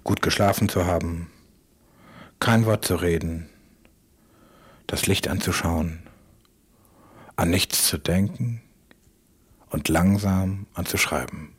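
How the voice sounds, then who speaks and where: A man delivering a halting, brooding spoken-word monologue for radio, repeating phrases and often dropping toward a whisper. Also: low voice. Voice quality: husky, breathy.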